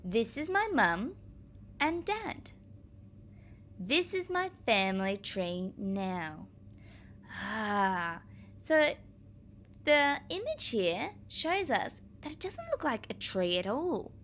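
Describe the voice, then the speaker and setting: Slow-paced woman reading aloud to children. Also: a little high, a little hiss or hum. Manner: clear, expressive, addressing young children. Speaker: primary school teacher reading aloud. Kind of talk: children's read-aloud with explanatory asides.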